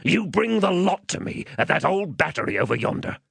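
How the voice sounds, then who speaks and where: A man voicing a gravelly, menacing rough convict. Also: high-pitched, very animated, a little fast, fairly bright tone, no room sound, voice pushed up, exaggerated voice. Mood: threatening. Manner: threatening, coarse rustic speech.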